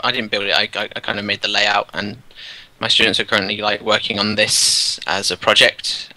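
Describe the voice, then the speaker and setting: A man, a teacher, casually explaining his classroom activities while touring a game world, relaxed and rambling with many fillers. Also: fairly low pitch, no echo, rough recording. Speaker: teacher, guest.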